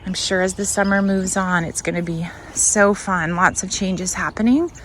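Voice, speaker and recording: A woman's voice: lowish voice, moderate pace, a little hiss or hum. A woman talking casually outdoors as she hosts a home garden tour, chatting and musing aloud as she looks around. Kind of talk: casual walk-and-talk vlog.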